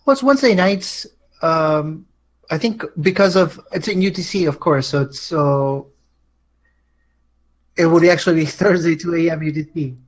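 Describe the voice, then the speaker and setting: A man's voice: a little high, normal speed, poor audio. A man answering questions as a guest on a casual show, easygoing and a little hesitant. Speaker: show guest who hosts a songwriters' talk show. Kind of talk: casual interview chat.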